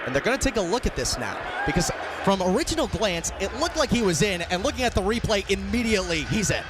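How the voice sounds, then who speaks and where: A man's voice: high-pitched, sing-song, quick pace, background noise. An American man doing live radio sports play-by-play in emphatic, clipped phrases. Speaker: play-by-play commentator.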